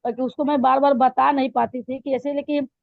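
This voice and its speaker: A woman with a hoarse, high voice tells her pastor her story in halting phrases full of fillers and restarts. Her tone is gravelly. The sound is dull and lo-fi, like call audio, with no room echo. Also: little pitch movement. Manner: hesitant, many fillers and restarts. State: hoarse throat. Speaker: church member giving testimony. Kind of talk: spoken testimony to a pastor.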